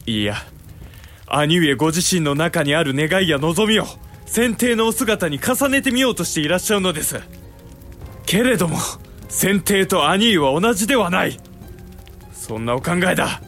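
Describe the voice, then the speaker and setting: An earnest, animated younger samurai brother. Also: male voice, high voice, moderate pace, soft background music. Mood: urgent, pleading. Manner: formal, polite archaic speech, pointed and pleading. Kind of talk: Japanese period audio drama acting.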